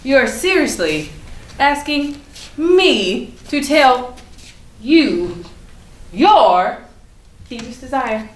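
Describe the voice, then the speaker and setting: In an echoey room, a woman plays an ancient enchanted bird, haughty and mischievous. The high voice swings widely and takes its time, with grand theatrical phrasing, as in a staged play. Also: very animated, extremely slow, long pauses, full character voice. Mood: imperious, probing. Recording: echoey room, noticeable background noise.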